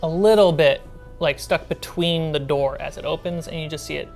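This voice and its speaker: A woman narrating a tabletop role-playing game as game master, describing scenes haltingly and with suspense, with music behind her. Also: low-pitched, darkish tone.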